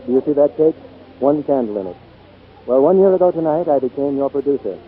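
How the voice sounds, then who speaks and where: A man's voice: medium pitch, quick pace, slight background noise, close to an everyday voice. Smitten showbiz producer, male actor, genial mid-range voice, vintage radio drama, tinny band. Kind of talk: 1940s radio acting. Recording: tinny 1940s radio broadcast.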